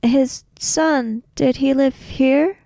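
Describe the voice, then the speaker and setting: Woman as a very slow-spoken, reserved young samurai. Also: sits high, steady tone, gravelly, warm tone, dry recording, exaggerated voice. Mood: reserved, curious. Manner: polite, formal, reserved.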